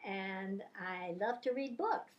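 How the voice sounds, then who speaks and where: An older woman recounting stories from her teaching days in a relaxed interview. She rambles from one anecdote to the next and fills her pauses with "uh" and "you know." Her voice sits at an ordinary middle pitch for a woman. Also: dull audio. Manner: rambling anecdotal storyteller, many fillers and restarts. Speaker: grandmother telling life stories, retired teacher. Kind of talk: casual reminiscing interview.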